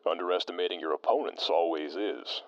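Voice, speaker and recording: Man as a formal, stilted tactician co-host, low voice, dry muffled sound. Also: brisk, no room sound. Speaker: radio co-host.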